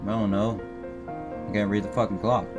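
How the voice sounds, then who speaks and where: Male game streamer, lowish voice, reading story text aloud, informal asides, loud game music behind. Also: level tone, brisk.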